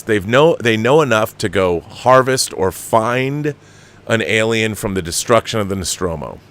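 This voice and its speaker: A man's voice: fairly low pitch, a bit bright. An American man in his fifties hosting an opinionated film commentary show, emphatic and exasperated, often repeating himself for emphasis. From United States. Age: 50s. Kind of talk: opinionated film commentary, reading viewer questions.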